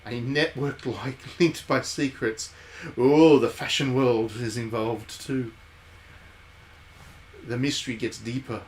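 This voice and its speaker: A man's voice: medium pitch, fairly even intonation, slow. A man giving casual live commentary on a game, with wry, playful asides and some reading of on-screen text. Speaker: game streamer and former journalist. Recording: clear background noise.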